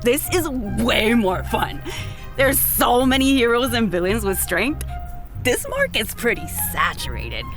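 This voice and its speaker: A woman's voice: fairly high pitch, sing-song, a little slow, over music. A young woman playing an eager, friendly super-strong rookie henchwoman in an audio drama, chirpy and a little apologetic. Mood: enthusiastic.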